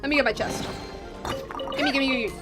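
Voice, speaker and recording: High-voiced woman streaming a game. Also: fairly even intonation, normal speed, bright, forceful tone. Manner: informal, reactive, playful. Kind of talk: casual live stream commentary. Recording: game music behind the voice.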